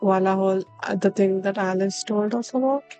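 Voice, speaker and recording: A woman's voice: mid-range voice, brisk, dark tone. A woman streaming a game, thinking aloud in casual, musing reactions. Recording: music under the voice.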